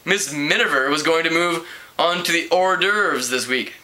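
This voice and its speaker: Man reading as a prim, bright-voiced schoolgirl. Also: on the high side, moderate pace, rough recording. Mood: worried. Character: earnest, haughty, worried teenage girl student.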